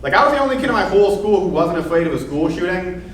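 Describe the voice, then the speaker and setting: A young man with a lisp performing a deadpan, self-deprecating stand-up set, full of "like" fillers. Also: sits high, rapid-fire, distant mic. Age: young adult. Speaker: stand-up comedian. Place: comedy club stage with laughing audience. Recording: roomy club sound with audience noise.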